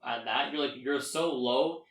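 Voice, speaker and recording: A man's voice: mid-range voice, unhurried, a bit bright, distant mic. A young adult man co-hosting a podcast in casual, rambling, slangy and jokey chat. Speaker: podcast co-host. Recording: echoey room.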